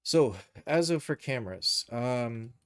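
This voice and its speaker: A man hosting a casual, unscripted software tutorial, thinking aloud as he explains each step. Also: medium pitch, animated, slow pace, clean tone, dry recording, clean studio sound. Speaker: live stream tutorial host, game and cartoon developer.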